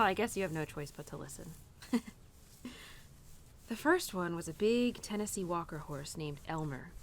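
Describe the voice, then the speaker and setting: A woman acting as an earnest young cowgirl in an intimate audio roleplay, confiding in her horse. Her voice sits a little low for a woman. She rambles hesitantly, stumbling and restarting her sentences, over a rumbly, noisy background.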